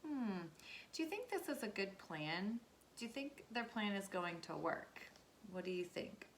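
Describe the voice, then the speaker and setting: A woman's voice: medium pitch, big pitch swings, unhurried, a little hiss or hum. A woman teacher reading a children's storybook aloud and checking in with her listeners in an instructive way. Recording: echoey room.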